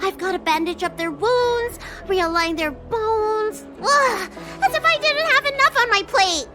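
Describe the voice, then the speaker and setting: A tiny, precocious girl doctor in a video game. The voice sits very high and swings animatedly, self-assured and quick to sound exasperated, with clear music behind it. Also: lively intonation. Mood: exasperated, brisk. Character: small childlike female doctor, precocious and exasperated.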